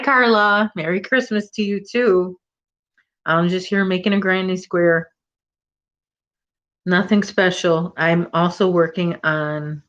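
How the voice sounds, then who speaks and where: A woman's voice: lowish voice, dull audio. A woman with a Chicago accent hosting a casual crochet livestream from home, chatting and teasing while she works. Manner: chatty, reads viewer comments, teasing.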